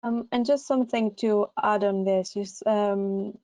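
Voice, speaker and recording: A woman's voice: medium pitch, steady tone, unhurried, warm tone, no room sound. A Greek woman with a Greek accent, speaking English as a second language, gives casual advice for a university recruitment session. She hesitates often, with many fillers and restarts. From Greece.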